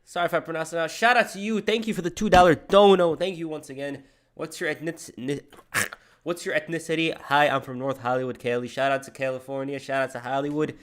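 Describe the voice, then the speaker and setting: A man's voice: a little high, steady tone, fast. A man streaming live, reacting to a wrestling video and reading out donations in loose, slangy chatter. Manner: loose slangy chatter, says bro a lot.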